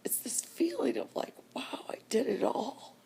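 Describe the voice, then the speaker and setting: An older woman crying softly as she talks to her camera in a personal vlog, whispering slowly with deep emotion. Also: lively intonation, creaky. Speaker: vlogger.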